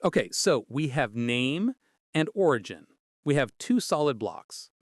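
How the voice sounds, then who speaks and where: A man's voice: medium pitch, very animated, measured pace, dry recording, studio quality. A man co-hosting a scripted, conversational English lesson in an inquisitive, explanatory way. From Canada. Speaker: podcast co-host.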